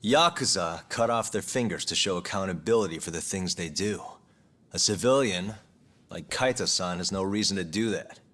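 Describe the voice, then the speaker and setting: An actor playing a cool, sharp-tongued younger investigator with a calm, pointed delivery in an English video game dub. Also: male voice, low-pitched, moderate pace. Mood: dryly cheeky. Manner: calm, pointed, dryly cheeky.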